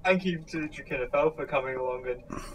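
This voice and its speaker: A man's voice: fairly high pitch, brisk, rough recording. Wry male host with faint background noise. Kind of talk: casual chat.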